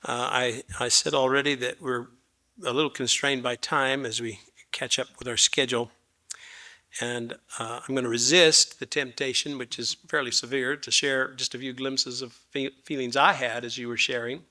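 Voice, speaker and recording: A man in his sixties with a raspy voice, moderating a panel discussion in a gracious, formal, somewhat rambling way. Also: mid-range voice, fairly even intonation, moderate pace, bright, forceful tone.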